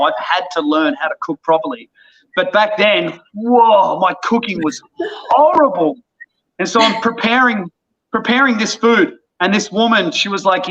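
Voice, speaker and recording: Animated man telling a story. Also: high-pitched, lo-fi sound. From Australia. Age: 30s. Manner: animated, acts out voices in his stories. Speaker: podcast guest. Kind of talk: casual storytelling chat.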